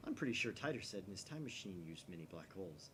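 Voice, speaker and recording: A man's voice: low voice, sing-song, quick pace, a bit bright, a little hiss or hum. A man voicing a grandiose, paranoid young mad scientist from a visual novel, in a theatrical, conspiratorial manner. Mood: brooding, suspicious.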